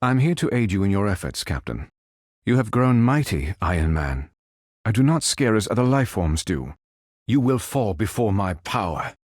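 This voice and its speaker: A male actor voicing a calm, stately android superhero in a video game, speaking in formal, measured, precise phrases. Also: lowish voice, no echo, clean studio sound. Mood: courteous, formal, then stern, defiant. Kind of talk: scripted game voice lines.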